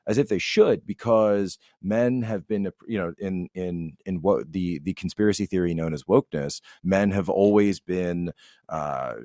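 A man with a deep voice talks in a dry, close-sounding recording. He rambles in a casual, opinionated podcast style, telling stories with animation and acting out what people said. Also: a little mellow, no echo.

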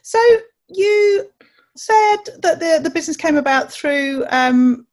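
A woman hosts a podcast, reading her intro and then chatting with a guest. Her voice sits high, and she sounds upbeat and encouraging as she asks her questions. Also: animated, slow. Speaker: podcast host. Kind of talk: scripted intro and casual interview.

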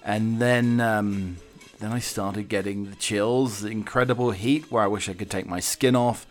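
A man's voice: fairly low pitch, fairly even intonation, moderate pace, soft background music. A man hosting a podcast in a casual, wry monologue, self-deprecating and mock-dramatic, often stumbling and repeating his words. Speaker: podcast host.

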